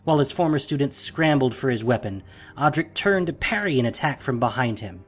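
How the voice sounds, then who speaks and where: A man narrating a scripted audio drama in an expressive, theatrical manner. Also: mid-range voice, brisk, faint music behind.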